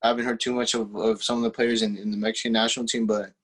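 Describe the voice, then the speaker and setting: A teenage man whose first language is Spanish talks casually as an interview guest. His voice sits fairly low, and his answers come out relaxed and halting, with lots of "um" and restarts. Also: breathy edge, rough recording. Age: teens. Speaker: guest, young footballer.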